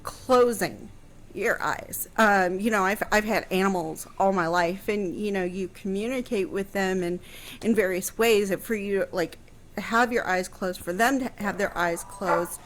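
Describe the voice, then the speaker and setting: A woman explaining things conversationally on a radio show, thoughtful and pausing mid-phrase as she goes. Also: medium pitch. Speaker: radio guest answering history questions.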